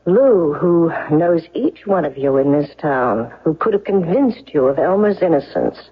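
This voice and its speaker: A woman's voice: low-pitched, expressive, a little slow, breathy edge, full character voice. A woman playing a commanding, relentless defense lawyer in a 1970s radio courtroom drama, speaking in an emphatic, accusatory way. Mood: accusing. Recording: dull, lo-fi old radio recording.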